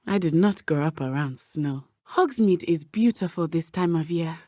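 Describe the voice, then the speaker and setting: A young woman playing a brave, principled teenage witch student in a video game, with formal, measured phrasing. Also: sits low, lively intonation, darkish tone, no room sound, clean studio sound. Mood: calm, friendly.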